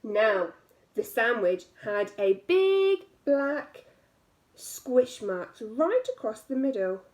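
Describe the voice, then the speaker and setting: A woman's voice: on the high side, sing-song, slow pace, darkish tone. A woman reading a picture book aloud to young children as a teacher, expressively stretching key words and adding little asides to the listeners.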